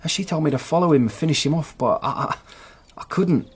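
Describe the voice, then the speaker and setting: A man's voice: fairly high pitch, fairly quick, slightly rough. A man playing a nervous petty crook and hapless henchman in a radio drama, with an English accent and a hesitant, self-excusing manner. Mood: anxious, pleading. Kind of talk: British radio drama acting.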